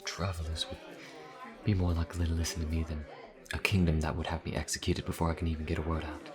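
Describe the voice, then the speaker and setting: An actor playing a nervous, earnest vampire traveler in a fantasy audio roleplay, speaking hesitantly with stammers. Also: male voice, extremely low pitch, level tone, fast talker, crowd noise. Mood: anxious pleading.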